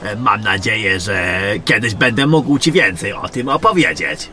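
Man acting muttering, forceful old-soldier general. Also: fairly low pitch, lively intonation, normal speed. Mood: evasive, amused. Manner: hesitant, muttering, repeats himself, chuckling. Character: jovial, gruff, evasive veteran general fond of beer. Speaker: voice actor in an audio drama. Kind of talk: fantasy audio drama acting.

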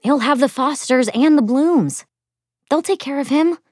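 A woman's voice: high-pitched, unhurried, darkish tone, no room sound, pitched above the performer's own voice. A woman voicing a reluctant, defensive young woman musician in an audiobook, with a dry, exasperated manner.

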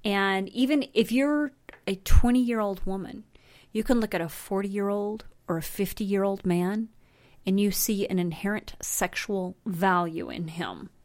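A woman's voice: medium pitch, unhurried, a little mellow, dry recording. A middle-aged woman from Colorado, a podcast guest, chatting loosely and candidly after a drink. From Colorado, USA. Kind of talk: casual chat.

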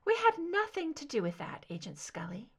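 A shadowy, composed female informant performed by a woman narrator speaks in a very high, delicate soprano. Her lines are soft and clear, lilting up and down with cryptic, unhurried deliberation, in the manner of a thriller audiobook. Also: wildly swooping pitch, full character voice. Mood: cool, cryptic.

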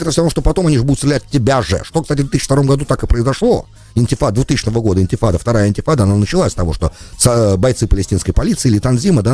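A man's voice: medium pitch, darkish tone, dry recording. A man hosting a political talk radio show, speaking in a rambling, argumentative monologue that is full of self-corrections.

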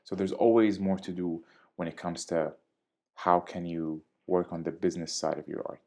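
A young man with a low, deep voice talks in a calm, measured way. He is articulate and diplomatic, and he explains his views at length in a podcast interview. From Syria. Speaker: DJ and guest.

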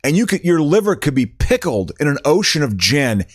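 A man's voice: a little high, big pitch swings, moderate pace, husky, no echo, clean studio sound. A man co-hosting a podcast, chatting loosely with stammers and laughs. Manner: rambling, laughing, stammering restarts. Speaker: podcast co-host. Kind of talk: casual chat.

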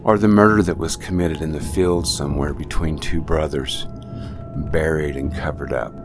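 A man hosting a radio show, telling reflective stories in a halting way that often restarts phrases. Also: rumbling low, steady tone. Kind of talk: reflective storytelling monologue. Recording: music bed under speech. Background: music under the voice.

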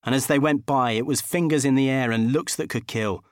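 A man narrating a comic first-person audiobook in a wry, expressive storytelling manner. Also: mid-range voice, a little fast, clear, resonant tone, dry recording, clean studio sound.

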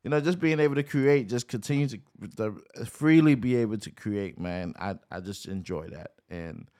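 A man's voice: lowish voice, normal speed, a little mellow. A laid-back man. He talks casually on a podcast and ends his phrases with "man" and "bro.". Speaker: podcast co-host.

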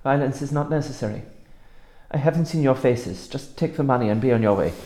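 An actor playing a cold, unrepentant older former secret police officer in a radio drama, measured, formal and dismissive. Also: male voice, mid-range voice, warm tone, echoey room, close to an everyday voice. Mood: guarded, placating.

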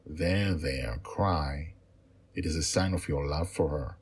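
A towering half-eagle general, once a villain, speaks softly and warmly, as a man reading the story puts him on. His voice sits very low and husky, soothing and unhurried in this storybook telling. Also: exaggerated voice. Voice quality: deep. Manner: soft, warm, soothing.

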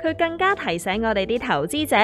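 A woman's voice: fairly high pitch, big pitch swings, fairly quick, fairly bright tone. A woman playing a dramatic know-all market guru in a comic radio drama, emphatic and explanatory, with a Hong Kong Cantonese accent. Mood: emphatic, alarmed. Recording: music under the voice. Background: background music.

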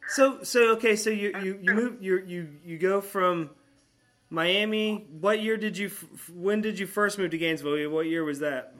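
An American man hosting a casual conversation, halting and repeating his phrases as he goes, with some swearing. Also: high-pitched, fairly bright tone. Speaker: host interviewing his friend. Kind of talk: casual chat.

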